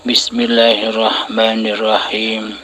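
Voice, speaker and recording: A man acting as a religious teacher, measured and solemn, opening with prayers before answering a caller. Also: fairly low pitch, completely flat delivery, bright, forceful tone, slightly rough, rough recording.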